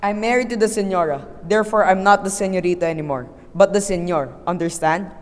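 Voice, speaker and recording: A woman's voice: mid-range voice, fast, lightly acted. A woman playing a stern Filipino father, echoing reverb. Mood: bossy, irritated. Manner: lecturing, emphatic. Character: stern, old-fashioned, easily exasperated Filipino father. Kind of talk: amateur English radio play acting. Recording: reverberant hall-like echo.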